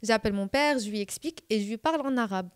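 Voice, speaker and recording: A woman's voice: fairly high pitch, fast talker, clean tone. Young woman telling her story. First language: Arabic. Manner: mixes Arabic words into French, talks through a long story. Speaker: podcast guest. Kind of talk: casual storytelling.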